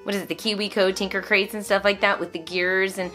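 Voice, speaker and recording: A woman in her thirties or forties in casual, animated conversation to camera, reviewing things and breaking off her own sentences, recorded in a room at home. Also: medium pitch, fast, soft background music. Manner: animated, conversational, self-interrupting. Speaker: vlogger and homeschooling mother. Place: small furnished room at home, indoors.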